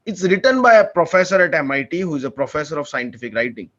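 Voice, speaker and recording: A man's voice: fairly high pitch, sing-song, fast talker, a bit bright, lo-fi sound. A young man from India, a PhD student chatting as a podcast guest in an earnest, enthusiastic way, often restarting his sentences. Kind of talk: casual conversational interview.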